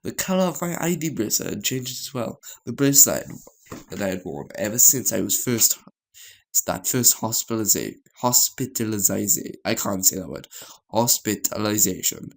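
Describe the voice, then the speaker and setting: Man haltingly reading a story, creaky voice. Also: fairly low pitch, expressive, rough recording. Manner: halting reading, stumbles over words and repeats phrases. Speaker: gaming channel host reading a visual novel aloud. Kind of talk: story read-aloud, narrating a visual novel.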